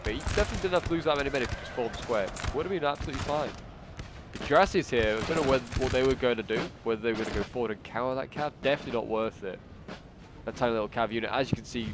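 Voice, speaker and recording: A man's voice: mid-range voice, noisy background. Congested man commentating a game battle. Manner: chatty, thinking aloud with frequent fillers. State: congested with a cold. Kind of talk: casual gameplay commentary. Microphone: new desktop microphone. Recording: game battle sounds behind. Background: gunfire and artillery from the game.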